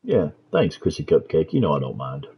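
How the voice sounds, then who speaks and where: A Texan man with a deep, husky voice streams a game and chats with his viewers. His delivery is laid-back and conversational, with casual swearing mixed into his commentary. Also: wildly swooping pitch, brisk, lo-fi sound. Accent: Texas. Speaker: gaming streamer. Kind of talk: live gameplay commentary, reading chat.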